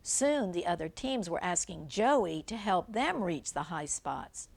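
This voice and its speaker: Older lady reading a kids book out loud, slow, explains the pictures as she goes. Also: on the low side, animated, creaky. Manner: simple, explanatory, pointing things out to a child. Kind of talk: read-aloud storybook narration with asides about the pictures.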